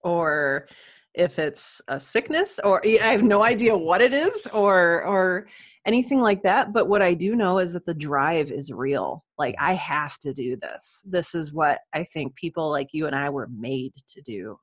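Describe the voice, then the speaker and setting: Low voiced woman podcast guest, chatty and candid, pokes fun at herself, dry sound. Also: lively intonation, a little slow, no echo. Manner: candid, self-deprecating. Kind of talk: conversational interview.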